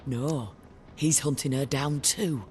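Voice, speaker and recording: An actor playing a blunt, terse, hard-living bounty hunter in a noir-style video game. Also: male voice, mid-range voice, fairly even intonation, takes it slowly, echoey room, slight background noise, lightly acted. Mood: matter-of-fact.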